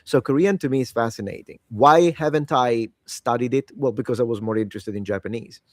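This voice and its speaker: A man's voice: medium pitch, brisk, dry recording, studio quality. A tired man whose native language is Italian, chatting casually and enthusiastically about the languages he wants to learn, often rambling and correcting himself. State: tired, late at night. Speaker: language-learning video host. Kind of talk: casual solo talk to camera.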